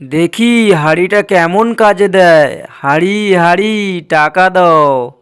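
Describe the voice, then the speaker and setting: A man's voice: high voice, takes it slowly, smooth. A man voicing a greedy, sneaking villager in a cartoon, talking to himself in exclamations. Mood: sly. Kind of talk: cartoon dialogue.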